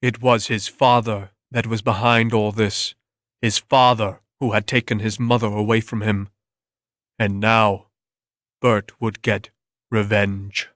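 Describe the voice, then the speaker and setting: A man's voice: lowish voice, little pitch movement, takes it slowly, a bit bright, no room sound, studio quality. A man reading scripted narration as the storyteller in a dramatic reading.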